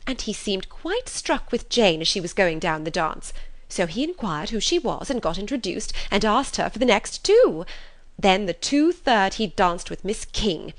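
A woman reading aloud, voicing an excitable, gushing middle-aged mother who talks in high, emphatic bursts. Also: normal speed. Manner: breathless, effusive, high and emphatic.

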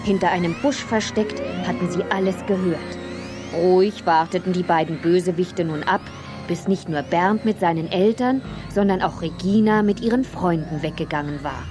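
A woman's voice: on the low side, moderate pace, over music. A woman reading scripted narration as the storyteller of a children's radio play.

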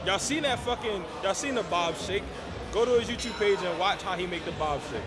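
A man's voice: sits high, lively intonation, brisk. A man bantering casually in a gym with laid-back slang and trash talk. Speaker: athlete. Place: large indoor gym. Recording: loud music over the voice. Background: loud gym music.

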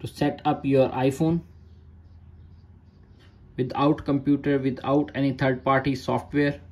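A man's voice: mid-range voice, flat delivery, measured pace, a little mellow. A man narrating a phone tutorial in short, repeated step-by-step instructions in non-native English. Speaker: tech tutorial host.

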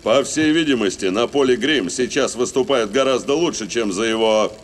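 A man's voice: medium pitch, moderate pace, slight background noise, lightly acted. An actor voicing a confident adult male football coach who explains the game steadily and reassuringly. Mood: calm explaining.

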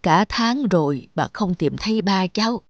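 A woman voicing a worried old grandmother in a cartoon, talking in a slow, sorrowful, halting way. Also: medium pitch, lively intonation, dry recording, dull audio, natural voice.

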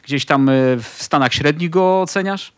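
A man's voice: fairly high pitch, moderate pace, no echo. A male interviewer asking long, rambling questions with many fillers.

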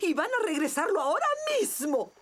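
A woman's voice: high voice, lively intonation, brisk. A woman voicing a stern older female rabbit school principal in a cartoon, theatrical in manner. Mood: grumpy, exasperated. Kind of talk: animated cartoon dub. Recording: music under the voice.

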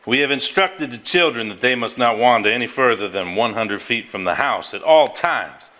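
An actor on a theater stage playing a man who reads an old journal aloud, deliberate, formal and earnest. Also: mid-range voice, lively intonation, bright, forceful tone. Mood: solemn, concerned. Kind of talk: live stage drama, measured dramatic reading. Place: theater stage before a live audience.

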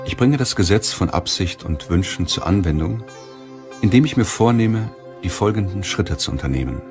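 A man reading an audiobook as scripted narration in a measured, deliberate way. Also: low voice, lively intonation, over music.

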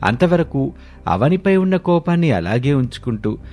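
A man's voice: mid-range voice, big pitch swings, normal speed, darkish tone. A man reading scripted narration for a family story as its narrator. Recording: music behind the voice.

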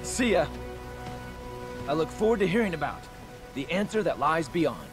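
Cocky martial artist voiced by a man, swooping pitch. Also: animated, moderate pace, close to an everyday voice. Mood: easygoing, confident. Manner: breezy, confident. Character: cocky, friendly martial-arts fighter, adult man. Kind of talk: video game voice acting. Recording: loud music over dialogue.

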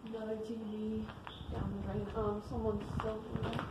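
A woman's voice: fairly high pitch, level tone, normal speed. A woman with a US Midwest Ohio accent, exploring an abandoned school with friends, curious and joking. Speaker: fellow explorer. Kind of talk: casual chat while exploring. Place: abandoned school building, indoors. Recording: distant, echoey and very noisy camera audio.

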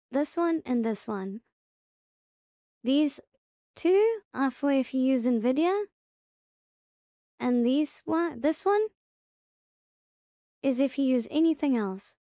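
Female tutorial host, casual and halting, unhurried step-by-step delivery, close dry sound. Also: high-pitched, warm tone, no room sound. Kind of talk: casual software tutorial narration.

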